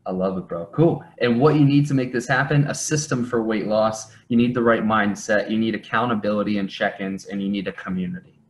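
A man's voice: on the low side, fairly quick, hardly any pauses. A young man working as a coach, talking in an upbeat, slangy bro style on a video call from home. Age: young adult. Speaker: health and business coach. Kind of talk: conversational sales call. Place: home basement room.

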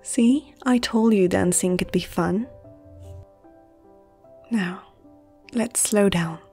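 Woman doing a flirty vampire noblewoman for asmr, soft dark voice, teasing n intimate, faint music behind. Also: mid-range voice, slow, slightly rough. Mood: tender, flirtatious. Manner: soft, intimate, teasing, often dropping to a whisper. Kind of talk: ASMR roleplay.

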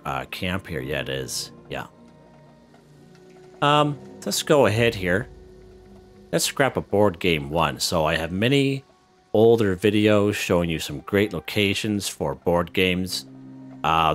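A man hosting a casual gameplay tutorial, relaxed and step by step, who is losing his voice. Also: deep voice. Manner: relaxed, walks viewers through step by step. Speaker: gaming channel host.

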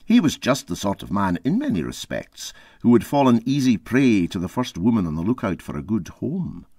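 A man reading a literary story aloud as an expressive narrator. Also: on the low side, moderate pace, slightly rough, dry recording, clean studio sound. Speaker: story reader.

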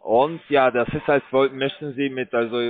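A man cold-calling as a scam call center agent, pushing a sales pitch in broken grammar with hesitant fillers, heard over a tinny phone line. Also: fairly even intonation, normal speed.

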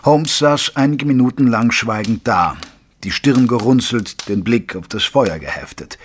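An actor playing a calm companion who narrates a detective's case in an audio drama. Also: male voice, medium pitch, a little slow, a little hiss or hum, natural voice. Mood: calm narrating.

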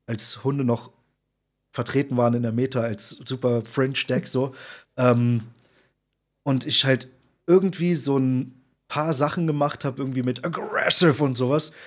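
A man in his thirties, a podcast guest, talking in an informal, slangy, emphatic way with a husky voice. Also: medium pitch. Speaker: podcast guest, content creator and streamer. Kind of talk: casual interview chat. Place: indoor room, video call.

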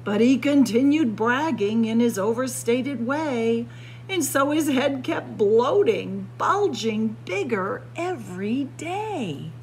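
Female library storyteller for picture-book read-aloud. Expressive swoops, deliberate and unhurried, slight room noise. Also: a little high, rough recording. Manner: theatrical, deliberate storytelling delivery. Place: indoor library room with bookshelves.

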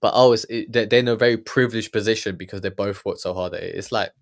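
Guy in his 30s ranting blunt reaction stuff, keeps restarting words, lowish voice, studio mic. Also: fast talker, creaky. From Germany. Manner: blunt, stammering restarts, heated. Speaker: podcast host reacting to a documentary. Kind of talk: casual reaction commentary. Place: small room with purple lighting. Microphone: studio mic on boom, earphones.

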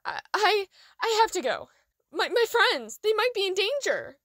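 A woman's voice: extremely high pitch, sing-song, moderate pace, a bit bright, dry recording, clean studio sound, heavily acted. A woman playing an earnest, anxious young female dragon in an audio drama, speaking with eager urgency. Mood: panicked.

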